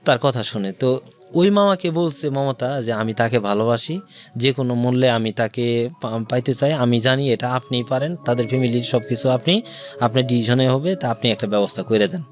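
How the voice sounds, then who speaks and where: A man with a Bangladeshi accent recounts his own life in an interview, music playing behind him. His pitch is mid-range, and he goes through things in detail, voicing the words others said. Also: moderate pace. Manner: narrates in detail, acting out quoted dialogue. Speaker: guest telling own life story. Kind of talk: personal storytelling in an interview.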